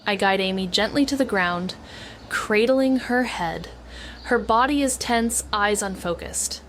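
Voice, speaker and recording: A woman's voice: medium pitch, unhurried, slight background noise, close to an everyday voice. An actress playing a thoughtful, haunted young woman, narrating a noir audio drama in the first person in a hesitant, introspective way. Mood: tense, focused. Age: young adult. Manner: hesitant, introspective, self-interrupting. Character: Mags, thoughtful haunted young woman, former teenage detective.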